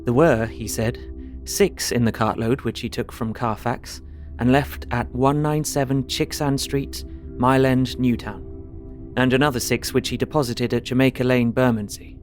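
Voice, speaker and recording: An actor with a British accent playing an earnest Victorian gentleman, narrating his journal formally and precisely in an audio drama. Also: mid-range voice, steady tone, moderate pace, faint music behind, lightly acted. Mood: methodical, intent.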